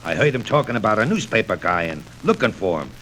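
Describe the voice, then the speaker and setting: An actor playing a rough, excitable, defensive henchman with slangy, blunt tough-guy talk in a 1940s radio serial. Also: on the low side, fast talker, low-quality recording. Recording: old radio record with faint noise.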